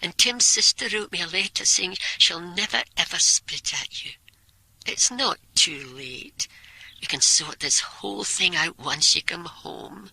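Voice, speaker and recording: An anxious mother in an intimate radio drama, tender and imploring. Her middle-aged voice is husky yet very sharp and tense. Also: lowish voice, animated, measured pace, dry recording, full character voice, rough recording. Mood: pleading, worried. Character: anxious, pleading, loving mother.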